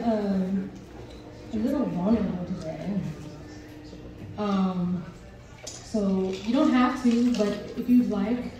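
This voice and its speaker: Young woman poet, slow measured reading, very mellow tone, distant echoey venue sound. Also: mid-range voice. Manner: slow, measured, reading from a book at a mic stand. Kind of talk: spoken word poetry reading. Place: small performance venue stage, indoors. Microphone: stand mic, filmed from the audience.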